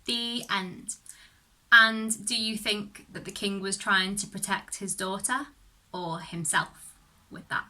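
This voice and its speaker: Bright-voiced woman reading a fairy tale. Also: mid-range voice. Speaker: storyteller.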